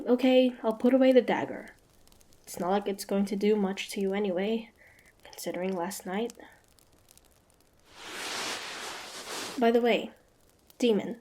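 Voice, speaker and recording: A woman playing a wary, sharp-tongued young demon hunter with a prickly but grudgingly softening manner, in a fantasy roleplay audio drama. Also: medium pitch, close to an everyday voice. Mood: reluctant, softening. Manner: guarded, sharp-tongued, tsundere.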